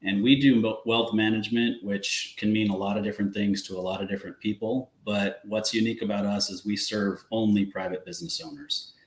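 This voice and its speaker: A man appearing as a guest wealth manager, friendly and quick to laugh, explaining things plainly. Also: on the low side, fairly even intonation, roomy sound, poor audio. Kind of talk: casual interview chat.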